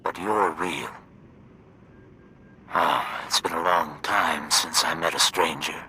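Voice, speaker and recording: A man's voice: very deep voice, fairly even intonation, slow, vocal fry, pushed and bright, a little hiss or hum, full character voice. An actor playing an ancient, weary male prisoner in a video game, solemn and secretive, telling his story with grave deliberation. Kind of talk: dramatic video game acting.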